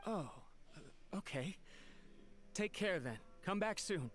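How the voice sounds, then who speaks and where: A man's voice: sits high, animated, slow pace, exaggerated voice. A man voicing an anxious, devoted retainer in a video game, deferential and solicitous toward his master. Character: anxious, devoted male retainer of a noble house. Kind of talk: dramatic game dialogue. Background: soft game music.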